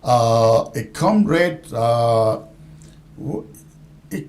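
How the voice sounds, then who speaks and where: A man hosting a live news discussion show in a formal, deliberate, courteous manner. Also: medium pitch, animated, darkish tone.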